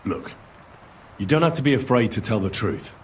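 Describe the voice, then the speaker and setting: An actor playing a young medieval man calmly and firmly questioning suspects in a video game. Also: mid-range voice, normal speed, a little hiss or hum, natural voice. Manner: calm, firm interrogating.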